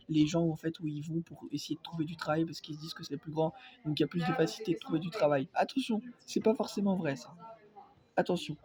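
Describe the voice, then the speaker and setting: A man's voice: fairly high pitch, dark tone. A young man with a cold, rambling through an opinion vlog full of fillers and insistent repeats. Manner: hesitant, many fillers, insistent. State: has a cold. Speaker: vlogger.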